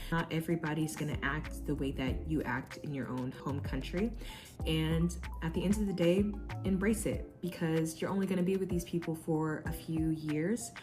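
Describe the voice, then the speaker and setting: A young woman from the United States talking earnestly and casually in a vlog, giving advice from her own experience. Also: deep voice. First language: English. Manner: earnest, conversational, with fillers. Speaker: vlogger.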